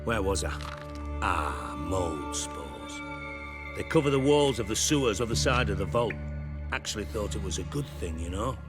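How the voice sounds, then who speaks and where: An actor playing a cynical, foul-mouthed former count turned crime boss in a video game, with sardonic, clipped delivery over music. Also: male voice, fairly low pitch, big pitch swings, normal speed. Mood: wry storytelling. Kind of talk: video game character acting.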